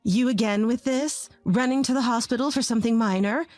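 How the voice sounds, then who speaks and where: A woman's voice: a little high, a little fast, darkish tone, dry recording, faint music behind, natural voice. A woman voicing a harsh, scornful older mother-in-law who scolds and sneers.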